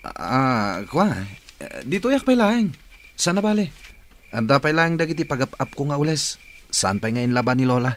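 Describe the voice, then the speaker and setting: A man acts a wheedling, evasive cousin in a village comedy radio play. He has a medium-pitched voice and an Ilocano accent, over faint noise and ambience. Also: very animated, moderate pace, close to an everyday voice. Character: evasive male cousin begging a place to sleep.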